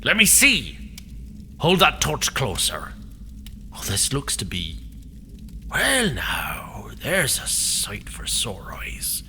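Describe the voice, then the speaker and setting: A man playing a weathered, grave and wary old adventurer in a fantasy audio drama, with a gravelly voice and halting, archaic phrasing. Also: medium pitch, huge pitch swings, sharp tone, a little hiss or hum, full character voice. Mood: intrigued.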